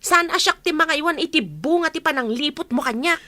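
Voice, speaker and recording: A woman's voice: high-pitched, animated, brisk, sharp tone, dry recording. A woman playing a bitter, resentful betrayed wife with a scolding manner in an Ilocano radio drama. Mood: bitter anger.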